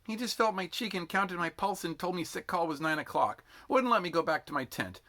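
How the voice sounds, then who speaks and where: Man voicing a high, whining exhausted young recruit. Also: animated, sharp tone, heavily acted. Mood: bitter, miserable. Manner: drawn-out aggrieved complaining.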